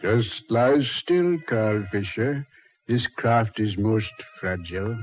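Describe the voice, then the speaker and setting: A man playing a suave, mocking Satan who ferries dead souls, unhurried and sardonically polite, in 1940s radio horror style. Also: on the low side, lively intonation, faint music behind. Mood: calm, ominous. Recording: dull 1940s radio recording.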